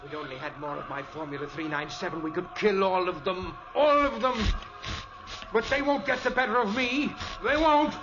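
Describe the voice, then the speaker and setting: A man's voice: high voice, moderate pace, close to an everyday voice, rough recording. A man playing a frantic, half-mad professor. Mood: raving. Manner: frantic, pleading, slipping into raving. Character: frantic middle-aged male professor, obsessed with insects. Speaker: radio drama actor. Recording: old radio play with music bed.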